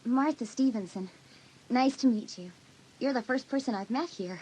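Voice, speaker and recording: A woman's voice: fairly high pitch, moderate pace, mellow, husky, low-quality recording. A woman playing an uneasy, moody teenage girl in a dramatised audiobook, with a hesitant, defensive way of talking. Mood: shy, friendly.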